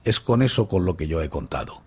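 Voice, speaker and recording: Man acting a gentleman thief, suave, mocking, theatrical, rough-edged low voice, radio drama. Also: animated, fairly quick. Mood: boastful. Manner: theatrical, mocking, grandly courteous. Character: suave, playful, boastful male gentleman thief in prison.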